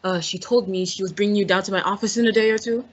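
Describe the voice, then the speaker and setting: Woman playing a husky, pompous older gentleman. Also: medium pitch, fairly even intonation, fast, dull audio, natural voice. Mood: hearty, pompous. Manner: pompous, formal. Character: pompous, stern older businessman, hearty and paternal. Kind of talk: old-time radio drama acting.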